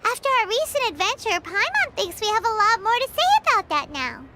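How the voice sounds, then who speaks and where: A woman's voice: extremely high pitch, sing-song, fairly quick, very noisy. An actress playing a tiny, chatty, chirpy female sprite companion who refers to herself by name. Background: game music.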